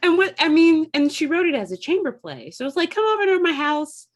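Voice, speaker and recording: A woman's voice: sits high, very animated, a little fast. A woman speaking in an enthusiastic, conversational way as a guest theatre artist in a casual panel discussion.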